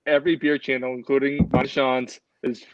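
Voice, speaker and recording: A man's voice: medium pitch, level tone, moderate pace, dry recording. Blunt crude man on a muffled call. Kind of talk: casual chat over beers.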